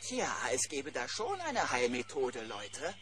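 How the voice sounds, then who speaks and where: Jaunty, animated cartoon bear. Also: male voice, mid-range voice, slow, over a noisy crowd. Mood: mock grave. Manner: jaunty slang, smooth-talking. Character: breezy, wily, free-spirited adult male cartoon bear. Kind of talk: German children's cartoon audio play acting.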